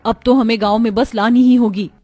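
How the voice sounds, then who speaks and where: An educated, resolute young city wife from a cartoon, played by a woman. Her voice is on the high side, with faint music underneath. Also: steady tone, fairly quick, warm tone, no echo, lightly acted. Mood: concerned, resolute.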